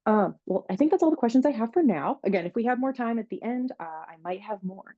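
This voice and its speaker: A woman's voice: on the high side, lively intonation, quick pace, dry recording. A woman moderating a virtual student Q&A over a video call, casual and hedging as she asks questions. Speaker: event moderator. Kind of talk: hosting a virtual Q&A. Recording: dry, muffled video call audio.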